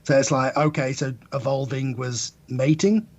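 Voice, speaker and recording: A man's voice: medium pitch, steady tone, normal speed, a little mellow. A man speaking as a podcast guest, giving analytical, wry opinions in casual discussion.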